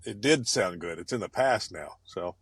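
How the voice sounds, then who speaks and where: A man's voice: low-pitched, lively intonation, moderate pace, fairly bright tone, breathy edge, no echo. A man co-hosting a podcast from his car, laid-back and hesitant, often trailing off. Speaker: podcast co-host and aspiring stand-up comic. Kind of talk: casual banter.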